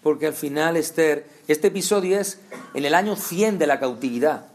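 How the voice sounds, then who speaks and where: A man's voice: fairly high pitch, normal speed. A man from preaching a conversational sermon, talking straight to his listeners with rhetorical questions.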